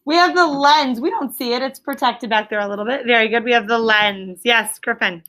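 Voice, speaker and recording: A woman with a high-pitched, bright voice teaches an elementary class. She is instructive and interactive, putting questions to the group and repeating key answers with clear emphasis. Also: brisk.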